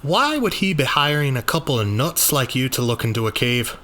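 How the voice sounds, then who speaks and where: A man's voice: medium pitch, big pitch swings, moderate pace, a bit bright, voice pushed up. A man voicing a puffed-up, condescending, skeptical police chief in a dramatized audio journal. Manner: blustering, condescending. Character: puffed-up, brusque, skeptical small-town police chief, male.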